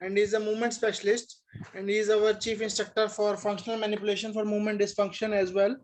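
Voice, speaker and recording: A man's voice: sits high, monotone, fast talker, slight background noise. A man hosting an online summit introduces the next speaker in a formal way. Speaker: summit host.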